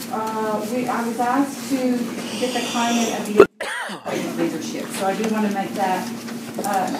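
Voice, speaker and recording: A woman in her sixties with a loud voice presents a survey report to a board in a formal tone, with many fillers. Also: a little high. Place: boardroom meeting. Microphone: room mic from a distance. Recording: echoey room, distant, noisy.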